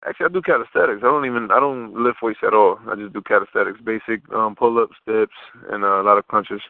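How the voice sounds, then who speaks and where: A man's voice: lowish voice, fast talker, vocal fry, dry recording. Casual man on a muffled phone line. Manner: informal, slangy, repeats and rephrases himself. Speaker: interview guest, fashion model. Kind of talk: casual phone interview chat. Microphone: telephone.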